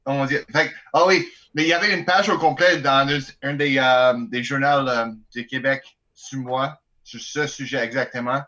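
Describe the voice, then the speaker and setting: A man with a Quebec French accent, a band's bagpiper, chatting in an interview with playful, deadpan bragging. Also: mid-range voice, moderate pace, hardly any pauses, highs cut off. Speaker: bagpiper in a folk band. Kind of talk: joking interview chat.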